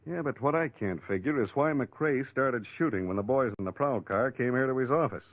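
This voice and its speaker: An actor playing a matter-of-fact homicide lieutenant in a 1940s American radio crime drama, with clipped, slangy tough-cop talk and an American accent. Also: male voice, medium pitch, lively intonation, a little fast, dry recording, natural voice. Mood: explaining, puzzled. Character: beleaguered, matter-of-fact police homicide lieutenant briefing reporters. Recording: thin, phone-like old radio recording.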